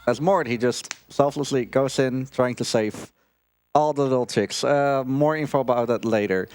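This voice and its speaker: A man's voice: medium pitch, darkish tone, clean tone. A man casually commentating his speedrun live, joking and chatting. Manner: joking, chatty, quick laughs.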